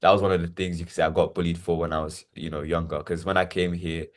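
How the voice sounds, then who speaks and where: Man, 20s, from Nigeria; low-voiced, halting testimony. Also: level tone. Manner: hesitant, repeats words, many fillers. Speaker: interview guest. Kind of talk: reflective personal testimony.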